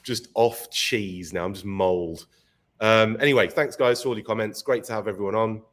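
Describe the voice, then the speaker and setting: A man hosting a live podcast stream, his voice croaky from illness, chatty and laughing often. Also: fairly low pitch, normal speed, a bit bright. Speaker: podcast host. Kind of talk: casual live-stream chat.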